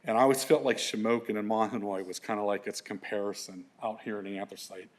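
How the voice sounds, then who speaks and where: A man's voice: lowish voice, steady tone, quick pace. A middle-aged man, presenting slides to an audience in a loose, conversational way with lots of fillers and restarts. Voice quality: big voice. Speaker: environmental consultant presenting to an audience.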